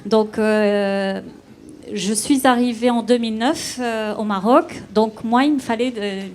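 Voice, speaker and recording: A woman's voice: a little high, measured pace, a little mellow. A woman from Belgium, a consultancy founder, telling her story candidly with self-mocking humour. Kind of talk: spontaneous testimony.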